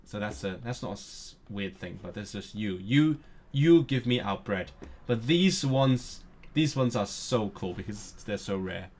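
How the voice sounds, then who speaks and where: A man's voice: on the low side, animated, unhurried, darkish tone. Eager, stumbling male streamer. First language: Cantonese. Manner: eager and nerdy, often stumbling and restarting words. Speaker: streamer and language learner. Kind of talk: casual live-stream chat.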